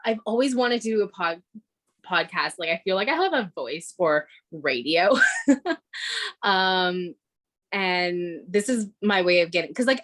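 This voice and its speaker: This is a woman in her thirties co-hosting a podcast. She talks casually with plenty of "um"s and laughs, and her voice sits at an ordinary middle pitch. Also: a bit bright. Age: 30s. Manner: giggly, chatty, lots of fillers. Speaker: podcast co-host. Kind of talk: casual chat.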